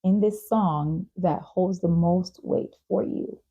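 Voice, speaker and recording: Woman, creaky low voice, affirming talk show interviewer, dull lo-fi sound. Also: slow pace, warm tone. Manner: affirming, with frequent short acknowledgements. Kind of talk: conversational interview questioning.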